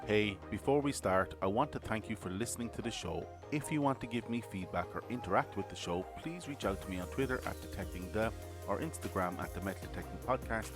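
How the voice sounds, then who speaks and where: A man with a fairly low voice hosts a casual podcast interview, greeting his guest and firing off questions with friendly energy. Music plays clearly underneath his voice. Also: fast talker. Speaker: podcast host.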